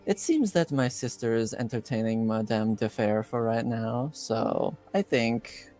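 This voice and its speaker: A man voicing a gracious, bold and flirtatious noblewoman hostess in a tabletop game, soft, polite and coy. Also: medium pitch, measured pace, faint music behind, natural voice. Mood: coy, accepting.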